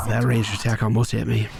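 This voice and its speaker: A man's voice: lowish voice, creaky, background noise. A man streaming a video game, giving casual play-by-play commentary on his own moves with asides to viewers. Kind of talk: live gameplay commentary, casual.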